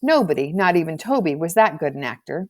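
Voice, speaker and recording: A woman reading a children's novel aloud as scripted narration, in an expressive storybook manner. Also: on the low side, fairly quick. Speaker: audiobook reader.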